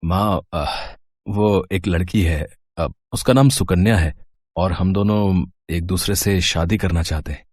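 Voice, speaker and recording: A man playing an earnest, easygoing young son and newlywed husband in an animated moral story, eager in manner. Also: sits low, a little slow, no room sound. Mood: hesitant, pleading. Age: young adult.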